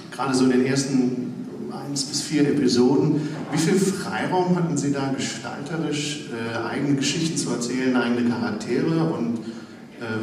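A man's voice: mid-range voice, darkish tone. A man hesitantly asking a question from the audience at a convention panel. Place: large convention hall. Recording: echoey hall from far off, faint noise.

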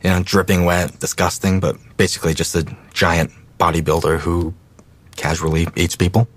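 Laid-back joker, teenage boy, deep-voiced, teasing and casual, audio drama. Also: little pitch movement, moderate pace. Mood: amused, casual.

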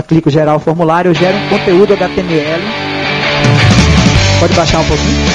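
A man in his thirties with a Brazilian Portuguese accent, presenting a technical talk in a small auditorium with a handheld microphone, hesitant and explanatory with frequent restarts. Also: sits high. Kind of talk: presentation and audience Q&A.

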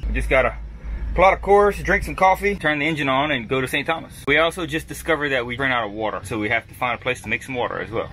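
A man's voice: medium pitch, quick pace, slight background noise, poor audio. A man in his forties co-hosting a sailing vlog, talking casually and joking from the cabin and deck of a sailboat. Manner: upbeat, joking, excitable on deck.

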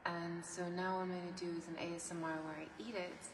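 A young woman talking gently and rambling on an ASMR eating video, slipping into soft whispers, filmed sitting on a bed in a bedroom. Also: on the low side, flat delivery, fast talker. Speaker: ASMR creator. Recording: echoey room from far off, noisy.

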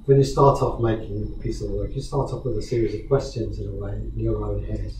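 Man, from South Africa, reflective and halting, mellow voice, roomy distant sound. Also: on the low side, little pitch movement, a little hiss or hum. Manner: thoughtful, hesitant, many restarts. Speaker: artist in conversation. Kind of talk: gallery conversation. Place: small gallery room with seated audience. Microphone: far camera. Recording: echoey room from far off.

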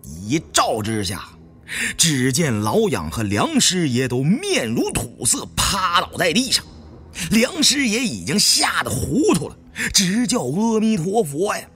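A man narrating a suspense novel in the first person with expressive, dramatic storytelling. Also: fairly high pitch, measured pace, breathy edge, faint music behind. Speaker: audiobook narrator.

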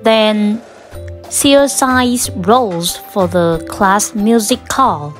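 Slow-reading woman storyteller over music. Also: medium pitch. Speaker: storyteller reading aloud for children. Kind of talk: expressive children's picture book read-aloud. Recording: clear music behind the voice. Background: background music.

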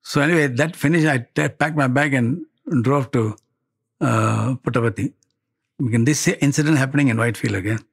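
Husky older Malaysian man telling stories. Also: mid-range voice, normal speed. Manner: animated retelling with quoted dialogue and tag questions. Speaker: devotee giving a spiritual testimony. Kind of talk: personal storytelling, conversational. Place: indoor studio set, seated before wood-panelled wall.

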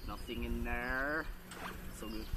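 A man's voice: medium pitch, takes it slowly. A teenage boy doing a goofy mock survival tutorial outdoors in a park. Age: teens. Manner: goofy deadpan comic patter. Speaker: comedy video maker. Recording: distant camera mic, loud outdoor noise.

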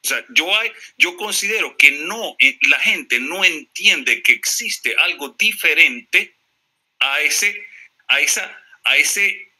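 A man's voice: on the high side, expressive, takes it slowly, sharp tone, slightly rough, low-quality recording. A Venezuelan man in a political discussion, speaking hesitantly and apologetically, often repeating words as he corrects himself. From Maracaibo, Venezuela. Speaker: participant in a live audio discussion.

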